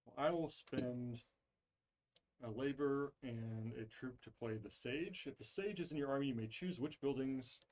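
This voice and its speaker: A man's voice: mid-range voice, a little slow, darkish tone, poor audio. A man in casual chat as he plays a board game and explains card rules. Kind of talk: casual tabletop play chat.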